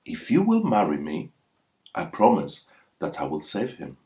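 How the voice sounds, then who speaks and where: A man's voice: very deep voice, huge pitch swings, measured pace, mellow, exaggerated voice. A man voicing a poor young folk hero, bold, solemn and commanding, in a storybook reading. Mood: bargaining.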